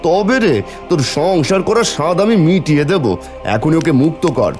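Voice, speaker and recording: A man's voice: fairly high pitch, animated, normal speed, loud music behind the voice, lightly acted. A man playing a suspicious, hot-tempered village husband in a folk-tale cartoon, with a dramatic, emphatic delivery. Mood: furious.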